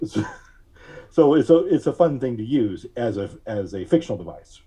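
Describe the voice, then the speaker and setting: A man is being interviewed on a podcast in a relaxed, casual way. His voice is mid-pitched and notably mellow. He speaks haltingly, working out his thoughts as he talks. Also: breathy edge. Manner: halting, thinking aloud. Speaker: podcast guest; audio drama writer.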